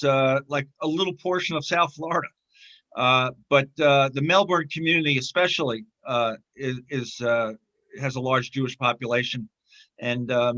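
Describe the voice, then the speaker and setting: A man speaking as a guest in a casual interview, talking haltingly with many fillers and restarts. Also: medium pitch, sharp tone, highs cut off. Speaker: film distributor, interview guest.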